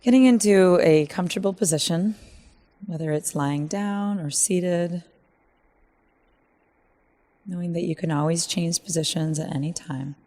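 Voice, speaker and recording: A woman leading a guided meditation in a gentle, measured way, leaving long pauses. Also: lowish voice.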